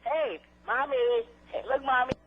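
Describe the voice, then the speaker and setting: A caller talks in short, casual bursts to a phone-in show. The voice comes muffled down a phone line with clear noise. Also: high voice, moderate pace. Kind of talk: brief casual phone calls.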